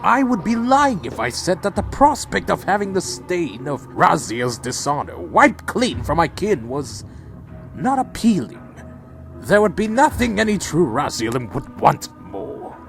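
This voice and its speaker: A man's voice: sits high, big pitch swings, a little slow, gravelly, a bit bright, soft background music, full character voice. A man playing a proud, brooding vampire warrior in a fan audio drama, speaking and narrating in a solemn, formal, dramatic way. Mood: brooding, resolute. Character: proud male vampire warrior, loyal to his clan, brooding. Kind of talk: audio drama dialogue and first-person narration.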